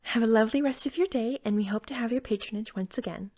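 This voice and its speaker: A woman playing an eager, friendly cashier at a magical pet store in a soft-spoken ASMR roleplay, often slipping into a whisper and chatting in a gentle, rambling way. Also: on the high side, fast talker, lightly acted. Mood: friendly, chatty.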